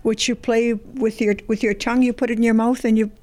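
A woman's voice: fairly high pitch, flat delivery, brisk, a little mellow. Matter-of-fact woman naming instruments. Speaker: museum board member. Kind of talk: explaining exhibits.

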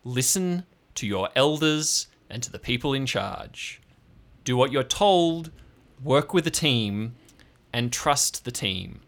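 A man's voice: mid-range voice, animated, takes it slowly, a bit bright. A man voicing an abrupt, authoritative female park ranger chief in an improvised audio drama. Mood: earnest, commanding.

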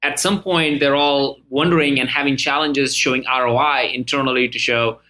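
A man's voice: mid-range voice, fairly even intonation, moderate pace, sharp tone, poor audio. A man hosting a podcast interview, chatty and enthusiastic, often restarting his sentences. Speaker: podcast host.

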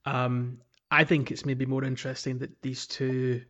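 A man's voice: mid-range voice, little pitch movement, a little slow, a bit bright, muffled recording. A man chatting casually as a gaming podcast co-host, hesitant and rambling, with frequent chuckles. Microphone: remote call.

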